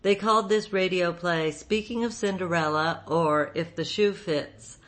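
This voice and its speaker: A woman's voice: lowish voice, a little slow, a bit bright. A woman radio host reading a scripted introduction.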